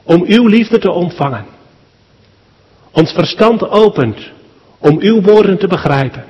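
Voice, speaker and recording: A man, a pastor, leads a solemn public prayer at a church service in short, measured phrases with deliberate pauses. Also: high-pitched, expressive, darkish tone, dull audio. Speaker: pastor leading a funeral service. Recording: long church hall reverb.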